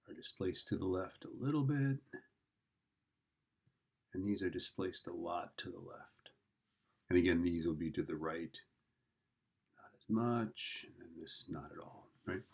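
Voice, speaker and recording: Low, gravelly man teaching informally. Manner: thinks aloud, often checks with "right?". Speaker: physics teacher. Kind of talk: informal lesson, working through an exam problem.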